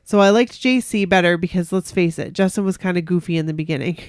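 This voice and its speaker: A woman's voice: fairly low pitch, fast talker, smooth, no room sound. Chatty, giggly woman, close dry sound. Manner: giggly, gushing, reacts excitedly. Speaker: podcast co-host. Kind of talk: casual chat, reading listener stories aloud.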